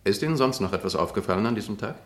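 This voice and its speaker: Actor as fast-talking, precise male prosecutor, formal radio courtroom drama, echoing room. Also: lowish voice, expressive, natural voice. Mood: methodical.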